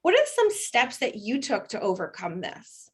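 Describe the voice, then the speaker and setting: A woman's voice: a little high, wildly swooping pitch, normal speed, breathy edge. Brief: cheerful woman panel moderator on a video call, encouraging, conversational. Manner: upbeat, encouraging, chatty. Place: home, joined by video call.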